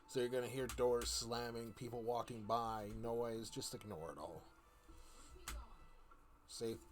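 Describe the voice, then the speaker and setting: A man's voice: medium pitch, fairly even intonation, unhurried, soft background music, close to an everyday voice. A man playing an easygoing court wizard who rambles and grumbles good-naturedly as he tells stories on a stream. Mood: casual, explaining. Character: easygoing court wizard chatting from his castle cabin. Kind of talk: in-character storytelling stream.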